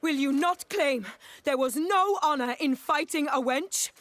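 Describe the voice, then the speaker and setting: A woman's voice: high-pitched, a little slow, a bit bright. An actress playing a proud, challenging female knight in a fantasy video game, speaking in formal archaic phrases.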